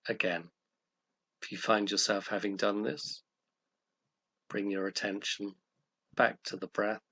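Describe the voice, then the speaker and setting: A man with a fairly low voice leads a guided meditation. He gives gentle, calm instructions in short measured phrases, pausing between them. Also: slightly rough.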